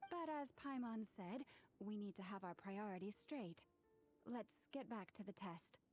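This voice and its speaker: A woman playing a calm, considerate, earnest young teammate in an anime-style video game. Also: medium pitch, measured pace, faint music behind, close to an everyday voice.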